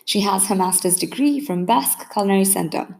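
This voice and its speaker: A woman's voice: mid-range voice, fairly quick, creaky, a little mellow. A woman hosting a podcast, reading a guest introduction and asking questions with upbeat enthusiasm. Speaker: podcast host. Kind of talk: read introduction and interview questions.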